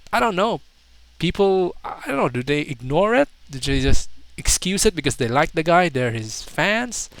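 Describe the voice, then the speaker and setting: Young man, clear higher-pitched voice, mocking commentary. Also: no room sound. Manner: mocking, incredulous, slangy asides. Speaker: video commentator. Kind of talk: casual commentary, reading out internet comments.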